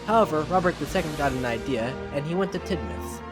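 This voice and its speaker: A man's voice: on the high side, expressive, fast. A man doing an amateur dramatic reading of a fan story as narrator, stumbling now and then over the words. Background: background music.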